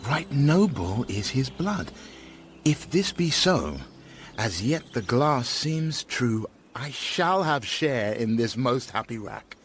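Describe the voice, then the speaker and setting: An actor playing a noble, lovestruck count in a radio Shakespeare drama, with measured, formal delivery. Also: male voice, medium pitch, animated, slight background noise. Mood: tender resolve. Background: music.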